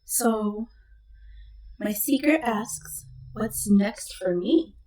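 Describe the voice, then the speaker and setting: A woman's voice: medium pitch, extremely slow, far from the mic, a little hiss or hum. A woman doing a casual tarot reading on camera, chatty and meandering, with a slight leftover cough. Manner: chatty, meandering, soft-spoken asides. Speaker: tarot reader. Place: home room with webcam. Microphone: new desk microphone. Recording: echoey room, voice a bit off-mic.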